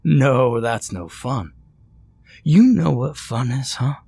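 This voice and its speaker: A man doing a deep flat godlike old being, mocking, slow, dark husky tone. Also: no room sound, heavily acted. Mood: maniacal. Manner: turns maniacal and wicked. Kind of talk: storytelling with acted dialogue.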